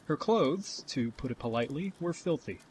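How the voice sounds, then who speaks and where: A man's voice: a little high, animated, moderate pace, a little mellow, a little hiss or hum. A man delivering scripted fantasy narration as the storyteller of an audio tale. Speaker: narrator, game-style storyteller.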